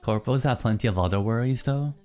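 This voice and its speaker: A streamer in casual live-stream chatter, teasing chat and answering in mock outrage between low, mumbled musings. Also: a little fast, a little mellow, soft background music. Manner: reacts to chat, teasing, mock-indignant bursts.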